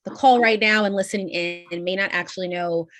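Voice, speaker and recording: A woman's voice: mid-range voice, fairly even intonation, brisk, fairly bright tone, no echo. A woman hosting an online panel over a video call, chatty and enthusiastic. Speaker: panel moderator.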